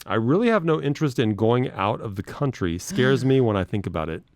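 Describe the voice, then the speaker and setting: A man's voice: mid-range voice, very animated, a little fast, darkish tone, no room sound. A man hosting a radio show, chatting casually in a studio, laughing easily and telling stories.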